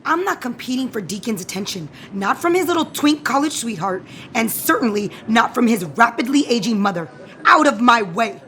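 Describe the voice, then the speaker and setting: A woman's voice: on the high side, lively intonation, normal speed, sharp tone, slight background noise. An actress playing a brash, spiteful young woman in an audio soap opera, sarcastic and confrontational. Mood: furious, defiant. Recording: music behind the voice.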